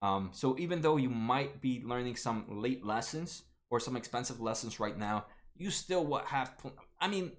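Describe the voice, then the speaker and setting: A man in his late twenties hosting a casual stock market livestream, talking to viewers by name with lots of fillers and restarts. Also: mid-range voice. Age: 20s. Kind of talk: casual livestream chat reading viewer comments.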